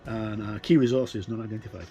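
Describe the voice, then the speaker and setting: Low-voiced man, hesitant, music behind. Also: fairly even intonation, fairly quick, warm tone. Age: middle-aged or older. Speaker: reviewer and presenter of tabletop games. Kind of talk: casual unscripted book look-through. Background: ambient music with wind sounds.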